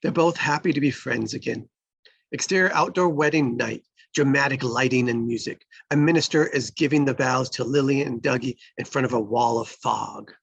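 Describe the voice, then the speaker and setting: Male stage-directions reader for a screenplay table read, creaky and husky, close dry sound. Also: medium pitch, moderate pace, fairly bright tone, no echo, highs cut off.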